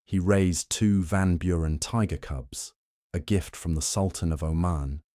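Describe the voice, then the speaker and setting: A man reading a scripted history narration in a slow, measured, soothing way meant for falling asleep. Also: deep voice, fairly even intonation, dark tone, no echo, clean studio sound. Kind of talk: calm scripted bedtime narration.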